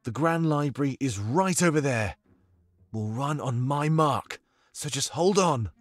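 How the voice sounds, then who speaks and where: A man's voice: medium pitch, animated, measured pace, dry recording, faint music behind, pitched above the performer's own voice. A man voicing a resolute, earnest male rebel leader in a furry visual novel, speaking with firm, rallying delivery. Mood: commanding.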